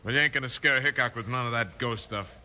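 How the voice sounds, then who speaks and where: A man's voice: medium pitch, fast, pushed and bright. Piercing, nervy western outlaw henchman. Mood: worried, nagging. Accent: American western drawl. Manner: nagging, worried. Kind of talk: 1950s radio western acting. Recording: hissy old radio recording.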